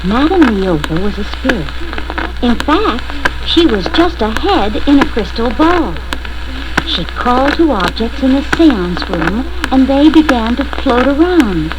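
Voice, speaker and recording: Slow, expressive woman narrator on crackly vinyl. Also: on the high side. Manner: deliberate, expressive storytelling. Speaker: storybook narrator. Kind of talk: scripted first-person spooky children's read-along narration. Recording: crackly scratched 1970 vinyl record.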